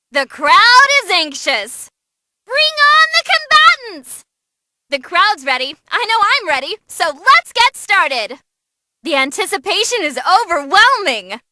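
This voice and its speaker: Perky, bloodthirsty female arena announcer for a fighting game; very high, hugely animated delivery, theatrical and showy. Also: no room sound, studio quality, full character voice. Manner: perky, showy, gleefully relishing violence. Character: cute bubbly bloodthirsty female tournament announcer.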